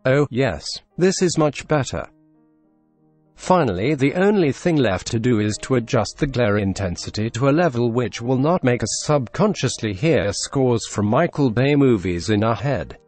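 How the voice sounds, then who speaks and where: Deadpan Russian-accented man, dry humor. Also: medium pitch, normal speed, soft background music. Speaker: tutorial narrator. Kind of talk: scripted screen-recorded tutorial voice-over.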